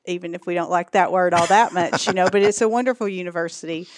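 A middle-aged woman from East Texas with a Texan accent, a guest in a relaxed, friendly interview who laughs lightly. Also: lowish voice, fast, dry recording, clean studio sound. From East Texas, USA. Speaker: guest, social work school director. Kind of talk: casual interview chat.

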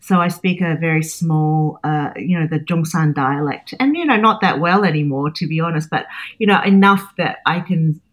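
Interview guest: Australian chef, 40s, deep for a woman, reflective, hesitant. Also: moderate pace. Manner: thoughtful, many fillers and restarts. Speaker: guest, chef and cookbook author.

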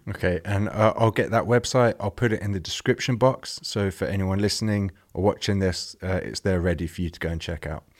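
Low-voiced male podcast host, relaxed. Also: fairly quick, hardly any pauses. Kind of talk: casual interview questions.

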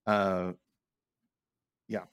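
A man chatting casually as a podcast co-host, rambling and haltingly repeating words as he thinks aloud. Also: low-pitched, flat delivery, a bit bright, smooth, dry recording, studio quality. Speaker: podcast co-host, art professor.

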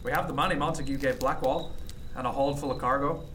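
Casting: man playing a modest eighteenth-century sea captain, earnest storyteller, mid-pitched male voice, light background noise. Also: steady tone, fairly bright tone, lightly acted. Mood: earnest, thoughtful. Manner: measured, halting, earnest. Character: reflective, modest sea captain in 1717, former cabin boy. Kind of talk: period radio drama acting, storytelling.

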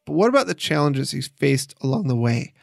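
A man's voice: medium pitch, very animated, brisk, vocal fry, no room sound, clean studio sound, faint music behind. A man hosting and narrating an audio documentary in a scripted style. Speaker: podcast documentary host and narrator.

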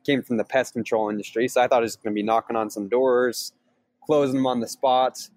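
An upbeat, energetic man co-hosts a casual chat and explains his trade with easy enthusiasm. His pitch sits in the middle range. The recording is dry and close, with no echo. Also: fairly quick. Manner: upbeat, energetic, laughs easily. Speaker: podcast co-host, sales trainer. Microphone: remote call, each on own mic.

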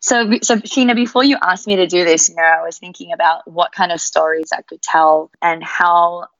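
A woman's voice: on the low side, fairly even intonation, moderate pace, a bit bright, no room sound, highs cut off. A woman in her thirties with an Australian accent, speaking candidly and reflectively as a podcast guest. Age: 30s. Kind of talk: interview conversation.

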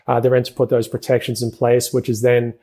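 A man speaks as an interview guest. His voice is dark and mellow with a husky edge. He talks fast, padding his answers with fillers and restarting phrases. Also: medium pitch, completely flat delivery. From New Zealand. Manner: rapid speech with many fillers and restarts. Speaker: guest, crypto investment executive.

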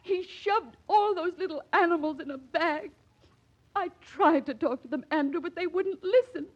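Devoted young wife for vintage radio drama: high-pitched, earnest, dramatic, on a dull old recording. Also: female voice, normal speed. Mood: distressed. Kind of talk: 1940s radio acting.

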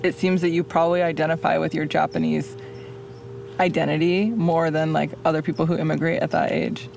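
A woman from California hosting a podcast, reading a scripted intro and then asking gentle, curious questions in a casual interview. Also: sits low, level tone, brisk, gravelly, slight background noise. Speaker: podcast host. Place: clawfoot bath outdoors under a tree.

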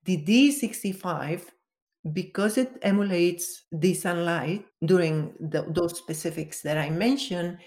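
A woman's voice: on the low side, unhurried, a little mellow. A woman from Venezuela, a guest giving careful, step-by-step explanations in an interview. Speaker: guest, color expert.

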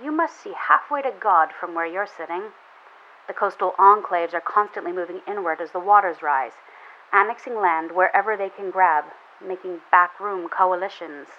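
A woman playing a weary, resolute enclave leader sending a grave, deliberate distress broadcast in an audio drama, filtered like a radio transmission. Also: lowish voice. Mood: weary, bitter. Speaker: community leader. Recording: dull radio transmission with hiss.

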